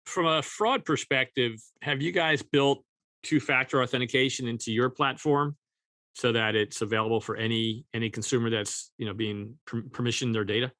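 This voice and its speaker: A man's voice: mid-range voice, fairly even intonation, moderate pace, sharp tone, no echo. A man hosting a business podcast, asking conversational interview questions.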